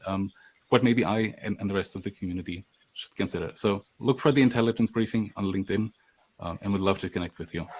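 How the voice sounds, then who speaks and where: A man introducing himself on a tech panel, speaking fluently and earnestly. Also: lowish voice.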